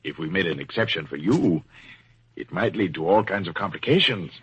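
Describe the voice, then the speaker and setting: A man's voice: deep voice, animated, brisk, a bit bright, breathy edge, exaggerated voice. An actor playing an officious, formal board chairman with stiff bureaucratic courtesy in a 1940s radio play. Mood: regretful, firm. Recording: muffled old radio recording.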